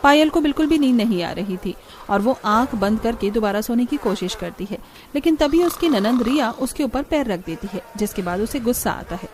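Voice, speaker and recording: A woman's voice: a little high, lively intonation, brisk, a little mellow, slight background noise. A woman storyteller giving scripted narration for a cartoon story.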